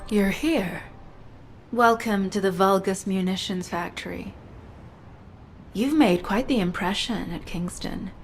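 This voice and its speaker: An actress playing a composed female stealth operative in a video game, giving measured, businesslike mission briefings. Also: mid-range voice, soft background music. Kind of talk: scripted game dialogue.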